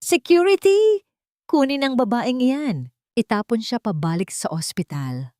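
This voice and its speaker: A woman's voice: a little high, sing-song, measured pace, darkish tone, dry recording, clean studio sound, voice pushed up. A woman voicing an arrogant, scornful wealthy old matriarch in a spoken audio drama, delivering proud commands. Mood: shrieking.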